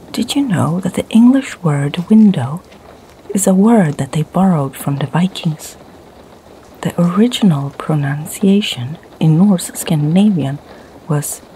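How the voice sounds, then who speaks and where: A middle-aged woman from Sweden with a Swedish accent, whispering ASMR stories softly and slowly in her home library. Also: fairly low pitch, a little hiss or hum, poor audio. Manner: soft, slow whisper. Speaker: ASMR creator and storyteller.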